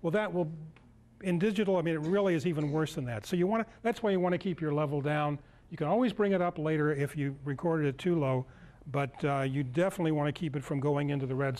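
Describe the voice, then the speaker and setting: A man in his sixties with an American accent, giving an informal, halting demonstration talk and thinking aloud as he presents in a meeting room. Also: on the high side, darkish tone. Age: 60s.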